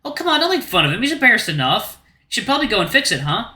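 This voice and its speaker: A man's voice: very high-pitched, sing-song, very fast, sharp tone, echoey room, pitched above the performer's own voice, exaggerated voice. A man voicing a worried, friendly male canine companion who talks in a soothing, coaxing way.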